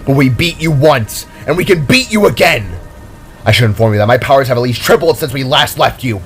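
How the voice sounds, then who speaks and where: A man's voice: mid-range voice, lively intonation, brisk, faint music behind, lightly acted. A man voicing a fierce, protective heroic father in an audio story, with a gritted, commanding and confrontational delivery. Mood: defiant. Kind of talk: audio story character acting.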